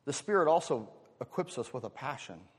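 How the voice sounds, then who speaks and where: A man's voice: medium pitch, expressive, fairly quick, slightly rough. American male preacher in a reverberant hall. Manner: rhetorical questions, conversational asides, emphatic swells. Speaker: pastor preaching to congregation. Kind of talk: sermon. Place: large reverberant church hall.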